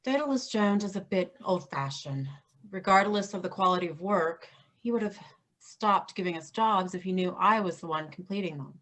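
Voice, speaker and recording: A woman's voice: lowish voice, expressive, moderate pace, fairly bright tone, low-quality recording. A woman playing a shrewd, wry engineer in a staged play reading.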